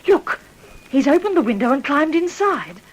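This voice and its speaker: A woman playing a watchful, reassuring mother of a small boy in a radio drama, deliberate and soothing in manner. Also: high voice, big pitch swings, poor audio. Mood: concerned.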